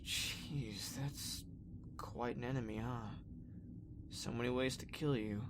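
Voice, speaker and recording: A man's voice: mid-range voice, slow, slight background noise, lightly acted. A man playing an earnest, curious young space trainee in a sci-fi fan parody, talking in a casual, hesitant way. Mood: thoughtful.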